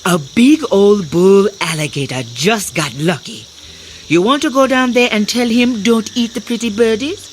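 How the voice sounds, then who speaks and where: An actress playing a sly waitress who runs a swamp clam bar, taunting and playfully sardonic, in an audio drama. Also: female voice, mid-range voice, normal speed, natural voice. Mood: mocking. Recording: clearly noisy outdoor night ambience with insects. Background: crickets and insects.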